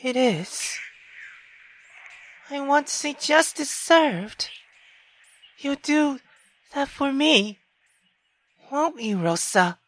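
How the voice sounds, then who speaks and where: A cruel, commanding mother acted out by a woman reading a visual novel game. The delivery is venomous and accusing. It moves slowly and swings widely in pitch. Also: animated, a bit bright, exaggerated voice. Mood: pleading, vengeful. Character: cruel, accusing mother scolding her daughter.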